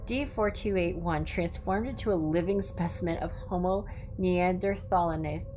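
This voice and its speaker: A woman with a fairly low voice reads report-style text aloud as a livestream host. Her delivery is informal, shifting between reading and offhand comments. Music plays clearly behind her. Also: moderate pace, darkish tone. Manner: informal, stumbles over Latin words and comments on the text. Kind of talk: livestream reading of clinical reports with casual asides.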